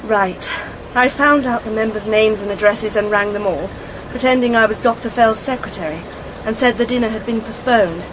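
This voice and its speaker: High-voiced, guarded young British woman played by an actress. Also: moderate pace, noisy background, natural voice. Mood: guarded, confessing. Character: anxious, secretive young woman, polite but guarded. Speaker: actress in a radio detective play. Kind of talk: British radio drama acting, dialogue.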